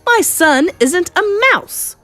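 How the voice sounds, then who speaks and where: Lady doing a stuffy angry english father from a storybook, loud n blustery, pitch all over the place. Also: animated, takes it slowly, no echo, faint music behind, heavily acted. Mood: shouting outrage. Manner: spluttering, shouting, indignant. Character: blustering outraged English father, pompous middle-aged man.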